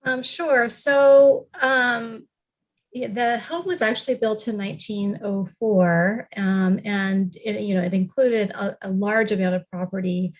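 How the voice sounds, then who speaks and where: A woman with a medium-pitched voice gives an informal historical explanation on a panel. She speaks haltingly, pausing and hesitating often between phrases. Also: fairly even intonation. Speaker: library representative on a panel.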